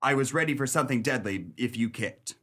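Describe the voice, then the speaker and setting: An actor playing a tough, smart 1930s police detective in a pulp crime radio play, with clipped, slangy delivery. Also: male voice, mid-range voice, a little fast, bright, forceful tone. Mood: cool, confident. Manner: clipped, slangy, hard-boiled.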